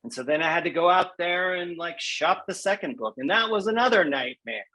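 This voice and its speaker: A man speaking as an interview guest, telling his own story in a candid, self-deprecating, conversational way with animated quoted asides. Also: fairly high pitch, a little fast, poor audio. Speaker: guest poet.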